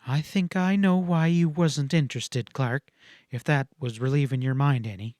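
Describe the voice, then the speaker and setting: A man voicing a scornful, quick-tempered young frontier woman in a western audiobook, speaking in emphatic, cutting outbursts. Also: mid-range voice, darkish tone, no room sound, clean studio sound, natural voice. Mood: bitter, teasing.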